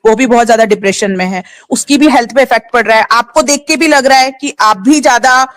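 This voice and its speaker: A woman's voice: on the high side, brisk, a bit bright, no room sound. A woman speaking firmly and earnestly, urging the other person during a recorded group phone conversation. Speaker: caller on a group phone call.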